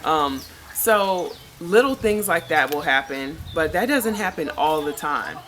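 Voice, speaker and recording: Low-pitched woman from the us vlogging, chatty and frank, birds and faint outdoor noise behind. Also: animated, measured pace, fairly bright tone. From United States. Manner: chatty, frank, lots of fillers. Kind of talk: casual personal vlog.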